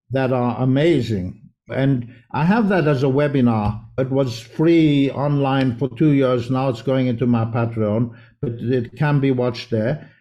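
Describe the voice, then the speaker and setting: A man's voice: mid-range voice, mellow, dull audio. A man from South Africa, raised in Israel, speaking as a guest homeopath in an interview with earnest, winding explanations. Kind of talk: conversational interview.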